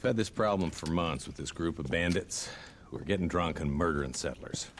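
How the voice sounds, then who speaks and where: A weary older American marshal in a western game. His voice is low and authoritative, with faint clopping and clicking behind it. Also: fairly quick, a little hiss or hum. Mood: businesslike. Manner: plain, authoritative. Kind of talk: video game cutscene acting.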